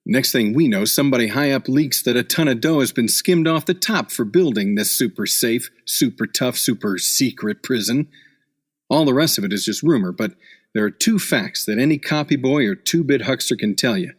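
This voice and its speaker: A man's voice: medium pitch, expressive, moderate pace, lightly acted. A man acting a hard-boiled, wisecracking seasoned newspaper reporter in a noir radio play, with clipped, cynical tough-guy slang. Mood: driven, cynical.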